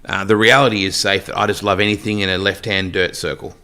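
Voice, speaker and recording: Middle-aged Australian race announcer, lowish voice, bright and forceful delivery, chatty storyteller. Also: male voice, little pitch movement, fast. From Western Australia. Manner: chatty storyteller, joking asides. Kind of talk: casual podcast interview.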